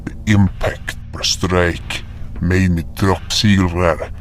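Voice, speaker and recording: Solemn male fantasy narrator-hunter, acted by a man: very low pitch, measured dramatic telling over music. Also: flat delivery. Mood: grim, dramatic. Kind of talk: scripted first-person fantasy storytelling.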